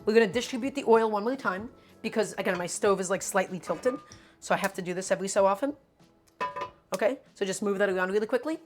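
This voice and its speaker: Cooking streamer, a woman whose first language is Russian, talking at a mid pitch. Casual and instructive, she keeps calling the viewers "my friends." Soft music plays in her home kitchen. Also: quick pace. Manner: chatty, instructive, often says "my friends". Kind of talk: live cooking demonstration.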